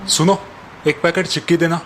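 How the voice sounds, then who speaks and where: Cartoon rich customer played by a man: commanding, generous, decisive, fairly high male voice. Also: little pitch movement, moderate pace, a bit bright, soft background music, natural voice. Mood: pleased. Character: wealthy, generous, commanding school owner.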